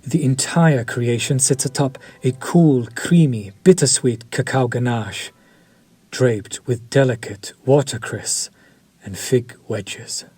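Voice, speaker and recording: An actor playing a courteous, quietly predatory vampire chef in an audio roleplay, polished, formal and flirtatious. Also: male voice, mid-range voice, slow, slightly rough, dry recording. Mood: suave. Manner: polished, formal and flirtatious, lingering over words.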